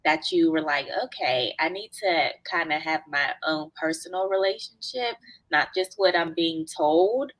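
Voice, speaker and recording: A woman hosts an interview podcast, her voice low-pitched and a bit congested from a cold. She encourages her guest with short affirmations. The sound is dry and close. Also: unhurried, fairly bright tone, no echo, low-quality recording. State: stuffy from a cold. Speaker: podcast host. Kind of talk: conversational interview.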